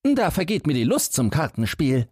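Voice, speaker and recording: Man playing a high-voiced fearless young lad. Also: wildly swooping pitch, darkish tone, breathy edge, no room sound, clean studio sound, full character voice. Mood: cheeky, unfazed. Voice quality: light, raised voice. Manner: carefree, unfazed, brisk. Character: fearless, naive, cheeky young lad of fairy tale.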